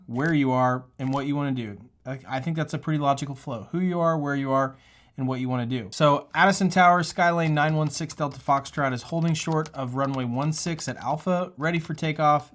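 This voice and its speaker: A man speaking casually and earnestly, like an instructor hosting a tutorial, with a reassuring, encouraging manner. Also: on the high side. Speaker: pilot and aviation tutorial host. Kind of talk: casual, encouraging advice talk to camera.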